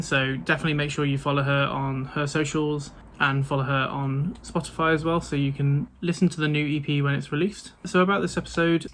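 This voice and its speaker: A man's voice: on the high side, a bit bright, a little hiss or hum. A man hosting a podcast, introducing the episode in a friendly, slightly hesitant way with fillers. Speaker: podcast host.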